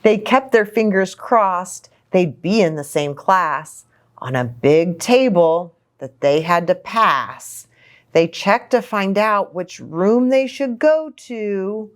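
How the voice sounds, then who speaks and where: A woman hosting a children's story time, reading a picture book in an expressive, sing-song way and speaking to young children. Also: medium pitch, slow. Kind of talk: animated children's picture-book read-aloud.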